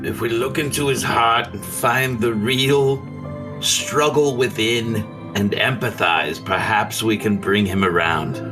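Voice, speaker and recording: A man's voice: medium pitch, slow pace, music in the background. A man playing a tabletop role-playing game, speaking casually in character.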